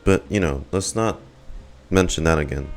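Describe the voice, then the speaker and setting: Deep-voiced young male game streamer. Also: moderate pace, faint music behind. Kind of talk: casual game reaction commentary.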